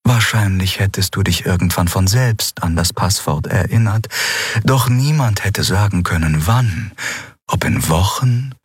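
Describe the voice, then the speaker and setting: A man voicing a calm, mysterious male spirit mentor in an audiobook, speaking in a slow, measured way. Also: low-pitched, slightly rough, dry recording, heavily acted. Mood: calm, knowing. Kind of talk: audiobook character voice.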